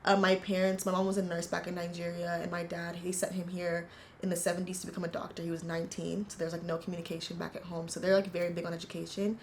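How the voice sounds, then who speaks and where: A woman in her twenties from the United States, telling her family story in an earnest, reflective interview, pausing often as she goes. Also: lowish voice, level tone, darkish tone, slight background noise. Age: 20s. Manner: earnest, reflective, halting with frequent fillers. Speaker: interviewee, university student. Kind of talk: casual personal storytelling.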